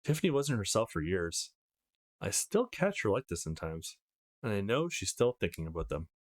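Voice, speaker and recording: Male reader as eighteen-year-old visual novel lead, earnest, self-conscious, highly varied intonation. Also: big pitch swings, normal speed. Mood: somber. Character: flustered eighteen-year-old young man, earnest and easily embarrassed. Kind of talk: visual novel character reading.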